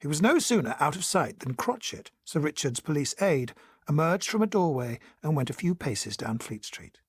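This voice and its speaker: An actor playing a protective, reassuring gentleman and storyteller, gentle and measured, in a period audio drama. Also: a little high, big pitch swings, breathy edge, no echo, clean studio sound. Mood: measured storytelling. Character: reassuring, protective gentleman who also narrates the tale.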